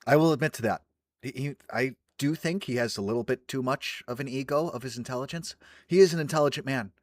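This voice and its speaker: A man's voice: mid-range voice, quick pace, dry recording, clean studio sound. A man commenting on a video in a blunt, scornful, ranting way. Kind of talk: reaction commentary.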